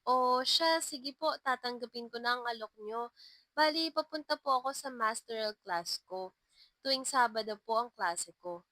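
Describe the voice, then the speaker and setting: A woman's voice: fairly high pitch, normal speed, bright, forceful tone, no room sound. A woman voicing a kind, encouraging female elementary school teacher in a narrated story. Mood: shyly polite.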